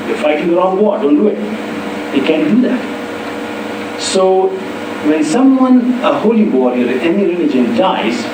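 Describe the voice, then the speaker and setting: A man with a foreign accent giving a public university lecture, explaining with wry asides. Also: on the high side. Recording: echoey hall from far off with hum.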